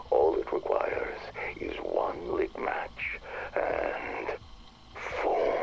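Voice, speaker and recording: Very deep, gravelly, disdainful scientist. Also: male voice, heavily acted. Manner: deliberate, theatrical, disdainful. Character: arrogant, sly male scientist gloating over his director's decline. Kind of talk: recorded audio log monologue. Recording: noisy audio log tape.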